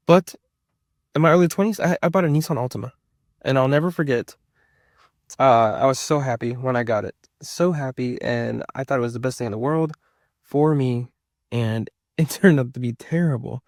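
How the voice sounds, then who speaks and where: A man's voice: medium pitch, moderate pace, darkish tone, no room sound, clean studio sound. A man of about thirty chatting casually to his viewers as a vlogger, rambling and repeating himself for emphasis. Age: around 30. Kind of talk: casual personal chat to viewers.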